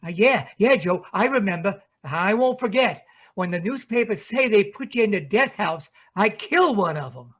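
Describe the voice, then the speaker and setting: An actor playing a slow-witted, devoted, shell-shocked war veteran in an old-time radio drama, speaking in halting, repetitive phrases. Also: male voice, high voice, animated, a bit bright, husky, heavily acted. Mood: anxious, eager. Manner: hesitant, halting, repeats words.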